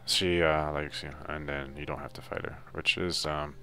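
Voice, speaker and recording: A man's voice: a bass voice, little pitch movement, moderate pace, sharp tone. A man giving a casual, unscripted video game walkthrough in a loose, hesitant, laid-back way. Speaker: video game guide narrator.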